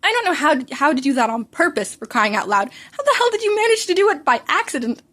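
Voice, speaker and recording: Brash, sarcastic middle-school boy, acted by a woman, fairly high voice, blunt and sneering, audiobook character. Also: very animated, quick pace, sharp tone, heavily acted. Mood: exasperated. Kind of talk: fan fiction audiobook character voice.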